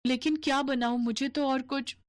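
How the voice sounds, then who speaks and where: A woman's voice: high voice, steady tone, moderate pace, no echo, voice pushed up, natural voice. A woman voicing a sweet, simple young bride, polite, frank and innocent, in a cartoon story. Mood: timid, polite.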